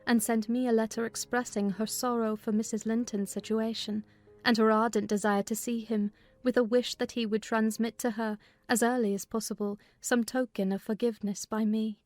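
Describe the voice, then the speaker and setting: A woman's voice: on the high side, flat delivery, normal speed, darkish tone, dry recording, lightly acted. A woman playing a dutiful housekeeper, recounting events in formal literary narration for a dramatized audiobook.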